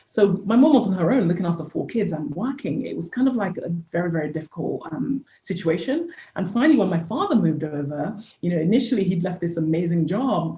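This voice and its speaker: A woman in her forties from Nigeria with an English accent, a podcast guest openly and reflectively telling her life story. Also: fairly low pitch. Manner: reflective, open, warm storytelling with soft laughs. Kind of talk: candid life-story interview talk. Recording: echoey room, dull lo-fi sound.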